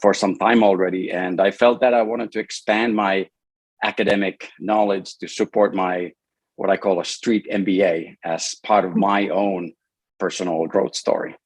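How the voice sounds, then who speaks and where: A man's voice: low-pitched, fairly even intonation, moderate pace, breathy edge, dry recording. A man from Finland, a sales director and alumnus, answering interview questions over a video call, thoughtful and hesitant, with many fillers and restarts.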